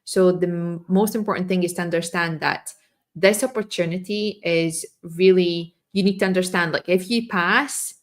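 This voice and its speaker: A woman hosting a live trading stream, losing her voice and clearing her throat as she explains in a loose, restarting way. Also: fairly low pitch, steady tone, moderate pace.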